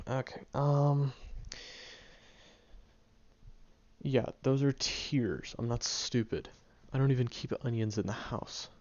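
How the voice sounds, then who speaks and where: A man's voice: mid-range voice, gravelly, a little mellow, no echo. A man voicing a prickly young male student who secretly cares, talking hesitantly with stammers and ums in an audio roleplay. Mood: gruff, reluctant. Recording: dull lo-fi recording.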